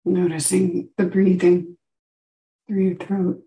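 A woman teaching a guided meditation, giving gentle instructions with long pauses between short phrases. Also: lowish voice, level tone, vocal fry.

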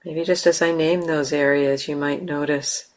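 A woman with an American accent, a meditation teacher leading a guided meditation slowly and softly, with long pauses. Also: sits low. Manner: slow, soft, calm, with long pauses. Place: meditation hall.

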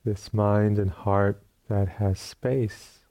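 A man teaching guided meditation in a very soft, dark, relaxed voice. He speaks on the low side and very slowly, leaving long pauses between short phrases.

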